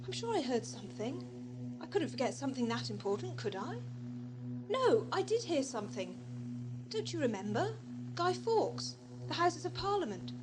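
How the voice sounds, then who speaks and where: A woman plays a young sidekick, eager and teasing, in a 1980s audio drama. Her voice is high and very lively in pitch, over clearly audible noise. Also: moderate pace. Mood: puzzled, insistent. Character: bright, eager, teasing young female companion of time traveller.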